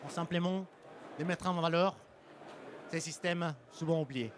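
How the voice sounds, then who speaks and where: A man's voice: on the high side, measured pace, background noise. Hesitant man with a Mexican Spanish accent. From French-Mexican. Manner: hesitant, frequent ums and restarts. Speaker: sales engineer. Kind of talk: conference presentation. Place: conference hall.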